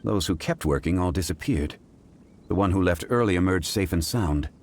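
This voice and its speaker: An actor playing a calm, erudite gentleman investigator in a video game, speaking in a measured, formal way. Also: low voice. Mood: composed. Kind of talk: video game character acting.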